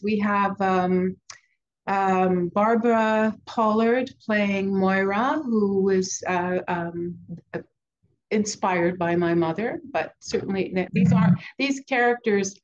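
A woman's voice: mid-range voice, slow. An older woman with a cold, a playwright speaking hesitantly with many ums and restarts in a video call interview from her home study. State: has a cold, deeper voice. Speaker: playwright guest. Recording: dull lo-fi call audio, faint noise.

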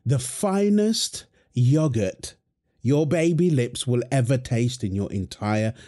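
A man's voice: medium pitch, expressive, slow, warm tone. A man playing a young father feeding his baby daughter, playful and affectionate, laughing often. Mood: doting. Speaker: voice actor in audio roleplay. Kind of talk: wholesome audio roleplay.

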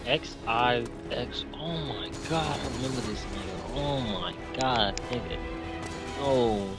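A man hosting a casual gaming chat on voice chat, excitable, swearing and laughing with friends. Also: medium pitch, slow pace. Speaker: host, gamer. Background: game music.

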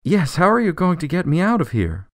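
A man's voice: on the high side, very animated, brisk, no echo, studio quality. A man playing a desperate, exasperated jailed politician in a radio drama, insistent and testy. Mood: testy, urgent.

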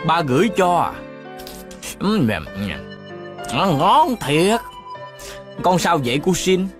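A man's voice: sits high, sing-song, takes it slowly, music in the background, full character voice. A man voicing an easygoing father in a cartoon dub. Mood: contented. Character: easygoing dad enjoying his dinner. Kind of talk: Vietnamese cartoon dubbing.

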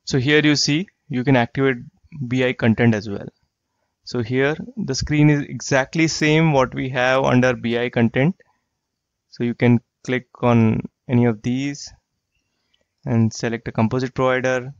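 A man walking through a software tool in a screen-share tutorial, explaining plainly and repeating himself. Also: mid-range voice, steady tone, normal speed, no room sound. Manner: plain explanatory, repeats phrases like "you get the idea". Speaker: software trainer. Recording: dry, slightly dull lo-fi voice track.

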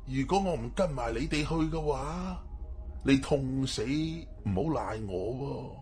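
A man voicing a shrewd old village witch woman in an audio story, teasing and unhurried. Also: mid-range voice, animated, a little mellow, soft background music. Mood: wry threat.

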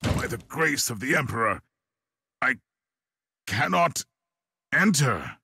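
An actor playing a grim, resolute inquisitor in a video game, speaking in a solemn, commanding, formal way. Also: male voice, mid-range voice, big pitch swings, slow, vocal fry, sharp tone, husky, dry recording, exaggerated voice. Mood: solemn resolve. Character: grim, resolute male inquisitor, battle-hardened warrior of the Emperor. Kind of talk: video game cutscene acting.

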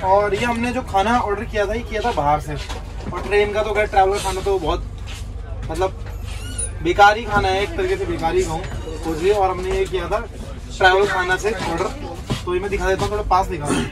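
A man's voice: sits high, fairly even intonation, fairly quick, low-quality recording. A man in his thirties chatting to camera as a travel vlogger, filming himself with a phone at arm's length inside a train coach. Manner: chatty, calls himself "your brother", addresses viewers as guys. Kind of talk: casual vlog narration to camera. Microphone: phone held at arm's length, selfie framing.

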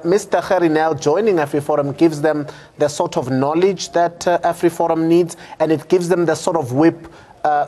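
A man's voice: on the high side, dark tone. A middle-aged man with a South African accent, giving political commentary in a studio, repeating words as he builds his point. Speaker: panel commentator.

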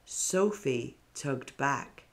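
A woman with a low voice narrates a picture book for children. Her reading is extremely unhurried and deliberate, with an expressive storyteller's delivery.

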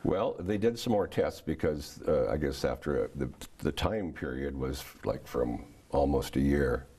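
Very deep, gravelly older man. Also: expressive, warm tone. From Canada. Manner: hesitant, halting, with many restarts and fillers. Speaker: guest giving a testimony. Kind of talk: casual interview answers. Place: small TV studio set, indoors.

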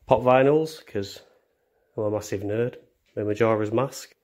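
A young man with a fairly low voice shows viewers around a house. He talks casually and chattily, with self-deprecating jokes, as he walks from room to room filming on a phone. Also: measured pace, a little mellow. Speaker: vlogger. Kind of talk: casual house tour narration. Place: indoors, walking through rooms of a house. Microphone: handheld phone camera.

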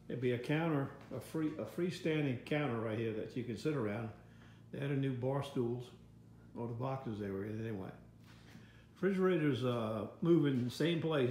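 A man's voice: medium pitch, mellow. Older american guy rambling on a phone vlog, plain folksy talk, roomy echo, bit of background noise. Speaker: vlogger. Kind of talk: casual rambling walk-through commentary. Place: inside a house under renovation, later outdoors. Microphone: handheld phone. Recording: echoey rooms, background noise, handheld.

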